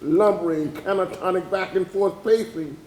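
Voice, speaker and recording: A man performing an anguished witness for enslaved captives, reciting dramatic verse in an intense, incantatory way with drawn-out emphatic words. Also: sits high, darkish tone, slight background noise, close to an everyday voice. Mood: anguished, grim. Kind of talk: dramatic spoken-word poetry recitation, readers theatre. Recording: echoey room on stage.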